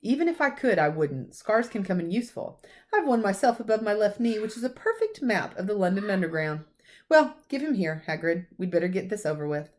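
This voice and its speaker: A woman voicing a wise, calm old wizard headmaster, grave but kindly, in a storybook reading. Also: medium pitch, a little fast, pitched above the performer's own voice, lightly acted. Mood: brisk, resolved.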